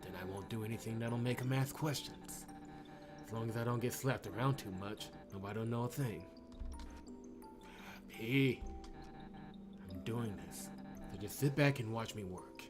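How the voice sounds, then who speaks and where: A man's voice: mid-range voice, moderate pace, pauses often, music in the background, lightly acted. Man voicing a brash, foul-mouthed female fighter. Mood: defiant. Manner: cocky, profane, combative.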